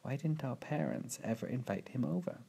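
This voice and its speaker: A man voicing a nervous, husky orphan girl. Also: medium pitch, expressive, normal speed, warm tone, voice pushed up. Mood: nervous, questioning. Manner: polite, anxious.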